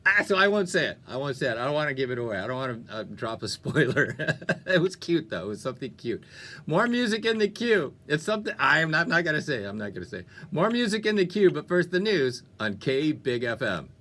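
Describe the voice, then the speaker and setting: A man's voice: fairly high pitch, very animated, a little fast, pushed and bright. A man hosting a music radio show with upbeat DJ patter, playful and chatty, often interrupting himself.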